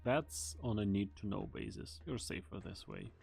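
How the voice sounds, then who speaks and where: A man playing a grave, calculating mercenary team leader with a measured, serious delivery in an amateur game dub. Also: fairly low pitch, huge pitch swings, vocal fry, darkish tone, husky, faint music behind, full character voice. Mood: guarded.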